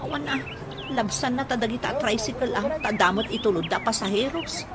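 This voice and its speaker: An actress playing a fault-finding, complaining village wife in an Ilocano radio comedy drama, with an Ilocano accent and a grumbling manner. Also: female voice, a little high, a little fast, over a noisy crowd, close to an everyday voice. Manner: scolding, grumbling. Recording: noisy radio drama with ambience.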